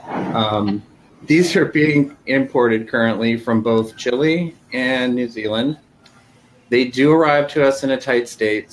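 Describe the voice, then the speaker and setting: A man's voice: fairly low pitch, slight background noise, rough recording. Man running through flowers on a live stream. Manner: informative, lists products with many ums. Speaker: regular co-host, flower specialist. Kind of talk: live-stream chat show.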